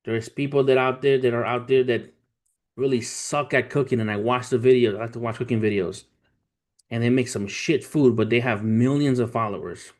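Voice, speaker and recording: A man's voice: medium pitch, steady tone, normal speed, darkish tone. A man in his thirties hosting a livestream, talking informally with slang and swearing as he tells stories and gives motivational talk to his viewers. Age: 30s. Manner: informal, street slang, profane, addresses viewers as family. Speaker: livestream host, trader. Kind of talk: casual livestream chat, storytelling and motivational talk.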